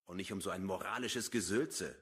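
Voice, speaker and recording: An actor playing a hardline, contemptuous militant of about forty in a radio play, scornful and agitating. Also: male voice, low voice, normal speed, a bit bright. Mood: scornful, insistent. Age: 40s. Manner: scornful, agitating, slogan-heavy.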